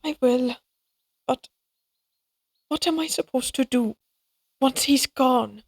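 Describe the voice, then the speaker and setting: A woman's voice: high-pitched, slow pace, breathy edge, exaggerated voice. A woman acting in an audio roleplay as an anxious, tender young mother who speaks hesitantly and wistfully.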